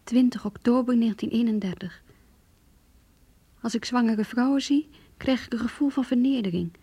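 Radio drama: longing, bitter abandoned lover, hushed and dark-toned, very breathy, intimate. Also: female voice, a little high, normal speed, no room sound. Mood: wistful, bitter, resigned. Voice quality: very breathy, often near whisper. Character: heartbroken mistress, wounded, longing, quietly bitter. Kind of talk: radio drama inner monologue, diary reading.

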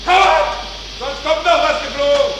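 Echoing, high-pitched, furious boilerman. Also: normal speed. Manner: cursing, shouting, threatening. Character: furious, foul-tempered male ship's boilerman. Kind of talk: 1940s German radio play acting. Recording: distant, very echoey, noisy 1940s radio recording.

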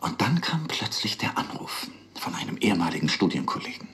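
A man's voice: lowish voice, sing-song, a little fast, sharp tone, in a whisper, heavily acted. An actor in a radio play playing an earnest, articulate married professional man with an ironic, emphatic manner. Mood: recollecting, reflective. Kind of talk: radio play dialogue and recollection.

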